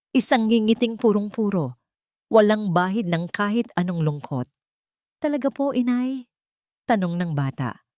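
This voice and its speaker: A woman's voice: fairly low pitch, a little mellow, no room sound, clean studio sound. A woman narrator reading a scripted, dramatic romance story.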